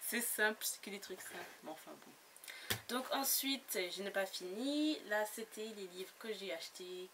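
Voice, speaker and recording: A woman chatting casually about her latest book haul, giggly and full of fillers and restarts. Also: medium pitch. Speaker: book blogger. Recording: noisy home recording.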